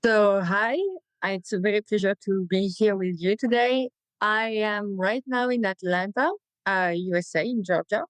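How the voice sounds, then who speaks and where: A woman from France with a French accent, a researcher answering interview questions in a plain, slightly halting way. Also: medium pitch, no room sound, low-quality recording. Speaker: guest researcher.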